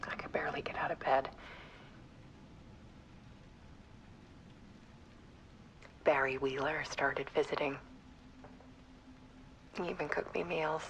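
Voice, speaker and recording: An actress playing a grieving, obsessive photographer in an introspective game monologue, breathy and half-whispered. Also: female voice, deep voice, fairly even intonation, normal speed, slight background noise, poor audio. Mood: subdued grief. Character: grieving, obsessive woman photographer confessing her fear.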